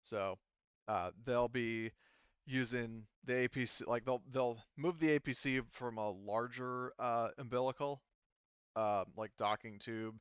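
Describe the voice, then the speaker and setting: A man's voice: mid-range voice, measured pace, clear, resonant tone, no room sound, dull audio. A man running a tabletop game as game master, talking loosely with many fillers over a voice call.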